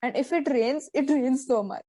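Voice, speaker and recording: A woman's voice: high voice, moderate pace, dark tone, no echo. A teenage girl from India with an Indian English accent, hosting a casual podcast and teasing her co-host with dry quips. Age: teens.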